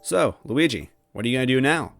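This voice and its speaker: Man doing a sassy young female creature, nosy, teasing, lifted pitch, lively, storybook reading. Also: brisk, vocal fry, faint music behind. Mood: curious.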